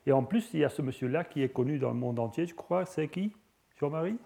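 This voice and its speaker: Flemish guy giving a lecture, kinda high but mellow voice, lots of euhs and restarts. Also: animated, quick pace, vocal fry. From Belgium (Flanders). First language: Dutch (Flemish). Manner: hesitant, many fillers and restarts. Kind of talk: scientific lecture with slides.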